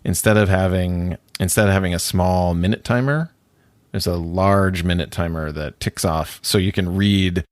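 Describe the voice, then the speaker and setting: Man, very low voice, dry close sound, casual chatty co-host, laughs easily. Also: no echo. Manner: chatty, rambling, laughs often. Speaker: podcast co-host. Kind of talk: casual chat about watches.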